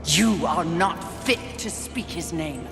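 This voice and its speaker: A woman's voice: fairly low pitch, expressive, slow pace, echoey room, big-room sound, over music. An actress playing a proud, short-tempered female warrior in a video game, curt, imperious and combative. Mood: defiant. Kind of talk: dramatic video game acting.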